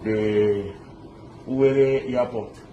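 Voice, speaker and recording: A man's voice: mid-range voice, steady tone, slow, muffled recording. A man from Lebanon, interviewed outdoors, telling his story animatedly and mixing in pidgin English. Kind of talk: street interview recounting. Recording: echoey, with loud ambient noise.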